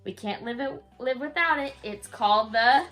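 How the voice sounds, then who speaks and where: A woman's voice: a little high, moderate pace, a bit bright. A woman reading a rhyming bedtime story aloud to a young child, in a sing-song way with casual asides.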